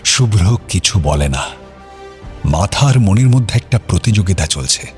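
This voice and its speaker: A man's voice: low voice, expressive, normal speed, faint music behind. A man narrating an audio story in a solemn, literary and dramatic way.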